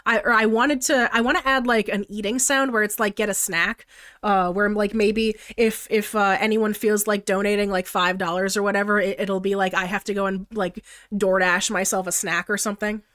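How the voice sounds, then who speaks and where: A woman's voice: mid-range voice, flat delivery, fairly quick, fairly bright tone. A young woman with a stuffed-up nose from the flu, casually explaining her digital art process on a live stream in a chatty, giggly way. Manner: chatty and giggly, often reading chat aloud.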